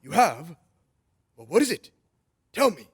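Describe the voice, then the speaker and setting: Man acting a jolly, high-pitched husky old Santa. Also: big pitch swings, unhurried, heavily acted. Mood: gentle, curious. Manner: chuckling, coaxing, old-fashioned grandfatherly phrasing. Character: jolly, kindly old man who is Santa Claus, playful. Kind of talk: old-time radio drama acting.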